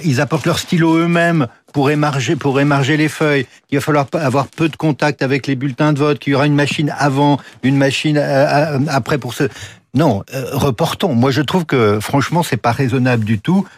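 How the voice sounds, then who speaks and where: A man speaking as a studio guest in a live political discussion, halting and repeating phrases to insist. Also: on the high side, fairly even intonation. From Sicilian family background.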